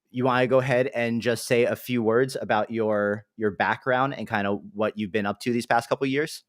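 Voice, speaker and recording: A man's voice: medium pitch, dry recording, clean studio sound. A young man hosting a casual livestream, upbeat and chatty, with lots of fillers. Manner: upbeat, greets chat, filler-heavy. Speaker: livestream host.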